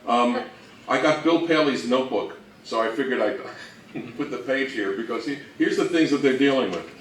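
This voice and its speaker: A man giving an informal, explanatory slide lecture as co-presenter, speaking conversationally and a little haltingly. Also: medium pitch, expressive. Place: room with echo, live presentation. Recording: echoey room from far off, faint noise.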